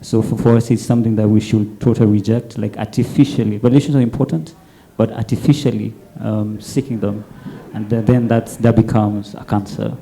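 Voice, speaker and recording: A man speaking on a panel, halting, with many fillers and repeated words. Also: fairly low pitch, fairly even intonation, vocal fry, dark tone, poor audio. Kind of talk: panel discussion.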